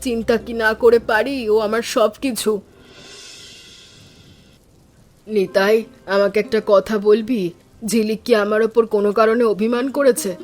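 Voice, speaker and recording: A caring older village aunt played by a woman speaks in a fairly high, tender voice, with music playing underneath. Her delivery is measured and homely, like an affectionate elder in a cartoon tale who frets over her family. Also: fairly even intonation, natural voice. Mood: concerned. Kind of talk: animated story dialogue.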